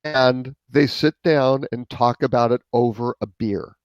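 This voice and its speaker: A man's voice: medium pitch, measured pace, no echo, muffled recording. A man co-hosting a live marketing show, chatting casually and thinking aloud with fillers. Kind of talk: casual live-stream discussion.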